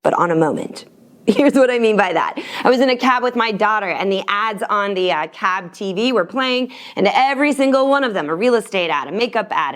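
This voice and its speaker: A middle-aged woman presenting an instructional talk with anecdotes, speaking emphatically with deliberate pauses on key words. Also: medium pitch, a bit bright. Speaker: storytelling coach and presenter.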